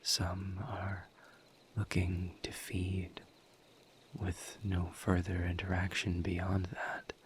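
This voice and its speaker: A man playing a calm, gentle, formal misery demon in an intimate roleplay audio, with a hushed, breathy voice and measured, deliberate phrasing. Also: low voice, noisy background. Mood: calm, explanatory. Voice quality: hushed, breathy near-whisper. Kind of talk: soft-spoken roleplay audio, intimate monologue to listener.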